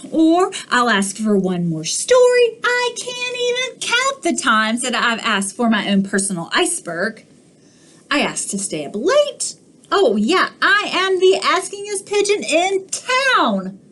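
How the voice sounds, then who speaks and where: A woman voicing a pushy, whiny young male pigeon in a storybook read-aloud, loud and demanding. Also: extremely high pitch, big pitch swings, measured pace, voice pushed up, full character voice. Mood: whining, pleased.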